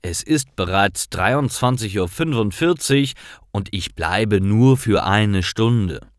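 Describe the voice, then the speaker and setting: A man narrating scripted stories for language learners in deliberate, clearly separated short sentences. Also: on the low side, expressive, no room sound, studio quality.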